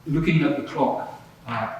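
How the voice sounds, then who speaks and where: A man acts as a presiding judge in a courtroom drama, with a mid-pitched voice. He speaks in formal, procedural phrases. The recording is distant and very echoey, with faint noise behind him. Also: muffled recording, natural voice. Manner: formal, measured instructions with hesitations. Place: large reverberant courtroom. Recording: echoey, noisy room, distant microphone.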